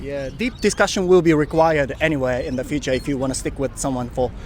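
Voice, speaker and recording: A man's voice: mid-range voice, fairly even intonation, quick pace, a little mellow, a little hiss or hum. A man with a Japanese accent interviewing people on a city street in a chatty, friendly way, laughing often. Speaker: street interviewer, channel host.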